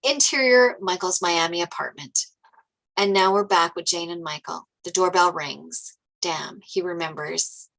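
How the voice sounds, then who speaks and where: A woman narrates a script at a table read, reading out scene headings and action. Her voice sits on the low side, bright and forceful, with audible fry. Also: measured pace, poor audio. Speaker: narrator reading stage directions.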